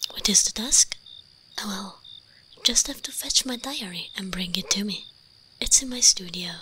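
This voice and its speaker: A woman playing a teasing, commanding vampire mistress in a breathy, whispered ASMR roleplay. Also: medium pitch, pushed and bright, slight background noise, close to an everyday voice. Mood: coolly commanding. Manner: slow, imperious, teasing.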